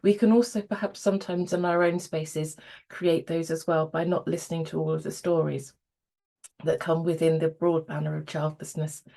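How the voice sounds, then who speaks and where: A woman co-hosting a podcast, her voice a bit croaky and pitched slightly low. She talks at an easy pace and rambles cheerfully, with filler words along the way. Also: flat delivery, normal speed, warm tone. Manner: friendly and rambling, with fillers and laughter. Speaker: podcast co-host.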